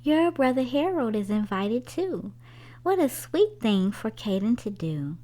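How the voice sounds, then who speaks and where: A woman's voice: fairly high pitch, sing-song, unhurried, dark tone. A woman voicing a proud, loving mother in a storybook, affectionate and delighted.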